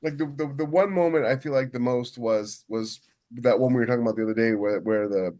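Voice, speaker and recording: A man chatting casually as a podcast co-host and writer, halting and restarting as he thinks aloud. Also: mid-range voice, dark tone. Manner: halting, thinks aloud with many repeated false starts. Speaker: podcast co-host and science fiction author. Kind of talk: casual chat about book writing.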